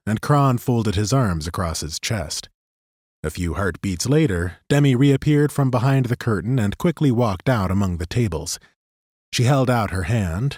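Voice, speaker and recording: A male narrator reading a fantasy audiobook in a steady, even storytelling style. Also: on the low side, slightly rough, no echo, studio quality.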